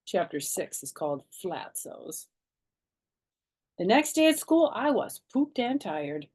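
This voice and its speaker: A woman reading a children's chapter book aloud as a storytime narrator, animated and dramatic in her telling. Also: mid-range voice, takes it slowly, slightly rough.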